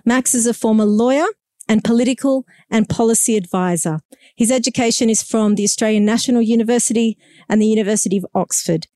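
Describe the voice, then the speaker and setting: A woman's voice: a little high, fast, mellow, no room sound, studio quality. A woman hosting an evening lecture, introducing the speaker and asking him friendly questions. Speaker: event host. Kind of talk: introduction and interview.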